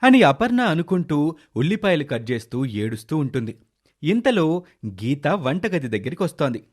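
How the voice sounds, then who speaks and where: A man's voice: a little high, sing-song, moderate pace. A man narrating a scripted moral story as its storyteller.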